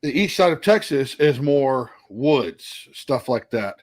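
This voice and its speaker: Earnest man thinking out loud. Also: medium pitch, sing-song, slow pace. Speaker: podcast co-host. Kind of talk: casual podcast chat.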